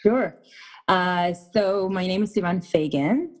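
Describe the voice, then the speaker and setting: A woman's voice: fairly low pitch, lively intonation, takes it slowly, a little mellow. A woman from Israel, a fitness coach, talking loosely in a casual interview with lots of fillers. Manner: loose and chatty, many fillers.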